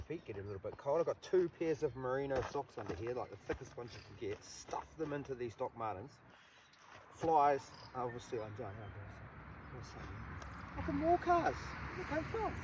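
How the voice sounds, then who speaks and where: Rambling New Zealand biker in roaring wind. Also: male voice, mid-range voice, sing-song, normal speed. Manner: laid-back, rambling, full of ums and trailing restarts. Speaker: motorcycle vlogger. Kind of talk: casual rambling monologue. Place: outdoors on a motorcycle by the highway. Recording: distant voice buried under loud wind and traffic noise.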